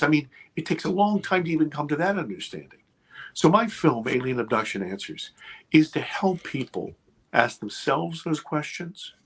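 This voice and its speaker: A man's voice: on the high side, breathy edge. A man in his fifties, a guest on a podcast, talking casually and with energy, swearing freely and checking in with "right?" and "okay". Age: 50s.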